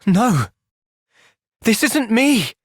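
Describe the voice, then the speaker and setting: A young man acting an earnest, thoughtful soldier hero in a video game cutscene, speaking in a measured, sincere way. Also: very high voice, big pitch swings, fairly bright tone, breathy edge, no room sound, studio quality, full character voice. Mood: urgent, intense.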